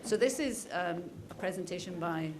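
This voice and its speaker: A woman's voice: fairly low pitch, lively intonation, normal speed. A woman giving an informal introduction as the event's introducer. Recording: very noisy, crowded hall recording.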